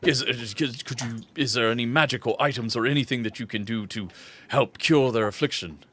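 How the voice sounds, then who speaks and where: A man playing a polite, worried father in a tabletop game, halting and stammering. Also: medium pitch, fairly bright tone, close to an everyday voice. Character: polite flustered father, worried for his daughter.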